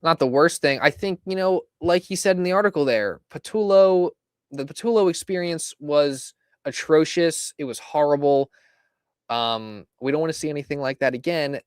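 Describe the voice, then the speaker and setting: A man hosting a casual live stream about his football team, chatty and laughing, his voice going hoarse. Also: fairly high pitch, no room sound, clean studio sound. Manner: chatty, laughs between lines, reads out viewer comments. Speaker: fan show host. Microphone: desk microphone.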